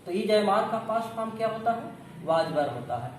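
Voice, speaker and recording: A man's voice: high voice, big pitch swings, moderate pace. A man giving a classroom grammar lesson, explaining step by step and answering his own questions. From India. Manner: instructive, asks questions and answers them himself. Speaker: English grammar coaching teacher. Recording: echoey room, distant mic, faint noise.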